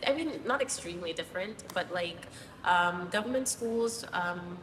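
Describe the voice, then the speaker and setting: A woman from Zimbabwe, a guest teacher, explaining things casually with many pauses and ums. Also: on the low side, a bit bright. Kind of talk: casual interview answers. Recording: distant mic, roomy hall, noisy.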